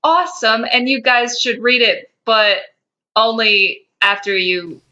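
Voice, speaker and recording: A woman's voice: a little high, measured pace, pushed and bright. A woman co-hosting a podcast, playful and teasing, bantering casually or reading fan fiction aloud, on a slightly dull lo-fi mic. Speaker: podcast co-host.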